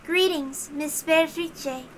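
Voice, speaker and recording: A woman's voice: high voice, slow pace, slight background noise, rough recording. A woman voicing a formal, polite young female heavenly visitor with a stiff, solemn, ceremonious way of speaking.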